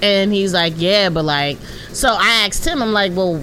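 A woman's voice: medium pitch, normal speed, sharp tone. Woman in her late twenties, noisy recording. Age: late 20s. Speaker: podcast co-host. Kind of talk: candid casual panel chat.

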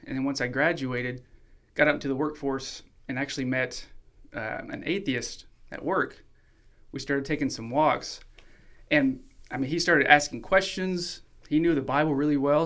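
A man who grew up in Mexico, a podcast guest calmly telling his faith story in a relaxed, reflective way. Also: mid-range voice. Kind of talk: casual testimony sharing.

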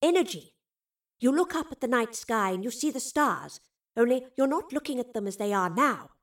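A woman's voice: fairly high pitch, lively intonation, moderate pace, distant mic, pitched above the performer's own voice. A woman voicing an eccentric woman professor who explains things lyrically and affectionately in an audio storybook. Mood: wondering.

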